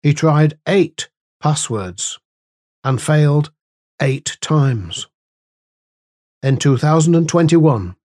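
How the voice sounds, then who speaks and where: Slow, measured man reading a devotional. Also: mid-range voice, darkish tone, no echo, clean studio sound. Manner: measured, deliberate phrasing with pauses. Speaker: devotional reader and host. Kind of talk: scripted devotional reading with scripture and prayer.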